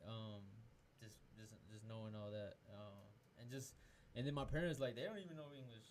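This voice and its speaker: A man's voice: lowish voice, fairly even intonation, normal speed, slight background noise. Rambling young man from Peru. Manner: rambling, loose and profane, many restarts. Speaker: podcast host. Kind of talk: casual chat.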